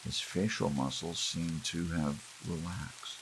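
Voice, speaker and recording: A man's voice: low voice, takes it slowly, low-quality recording. A man reading scripted fiction as an ASMR audiobook narrator. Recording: steady rain and hiss behind. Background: soft rain.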